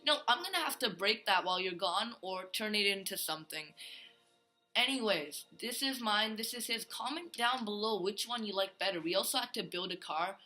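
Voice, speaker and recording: A man's voice: sits high, animated, moderate pace, bright, forceful tone, soft background music. A male gaming host giving a casual, rambling tour of a Minecraft build, full of fillers and restarts.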